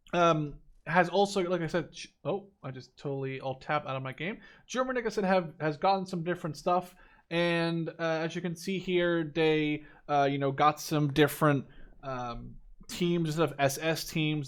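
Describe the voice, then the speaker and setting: A man's voice: fairly high pitch, fairly bright tone. A man in his twenties giving casual video game commentary in a rambling, conversational way, often correcting himself as he goes. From Netherlands. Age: 20s. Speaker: gaming video creator.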